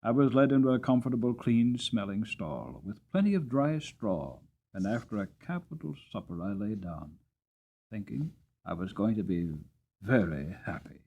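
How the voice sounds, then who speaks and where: Expressive male storyteller, very animated pitch. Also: normal speed, creaky, dull audio, a little hiss or hum. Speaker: audiobook reader. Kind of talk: dramatic storybook narration.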